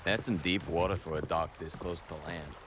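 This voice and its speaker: A man's voice: deep voice, steady tone, moderate pace, natural voice. A man playing a laconic, skeptical adventurer with a dry manner in an audio drama. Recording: music behind the voice.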